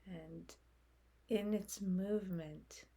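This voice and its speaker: A woman leading a guided meditation in a soft, breathy voice, speaking gently in short phrases with long pauses. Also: mid-range voice. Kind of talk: guided meditation, slow unfolding instruction.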